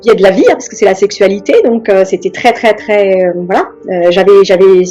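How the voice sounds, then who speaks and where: A middle-aged woman from France, speaking as an interview guest in a reflective, hesitant way with many pauses and restarts. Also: medium pitch, warm tone, muffled recording, faint music behind.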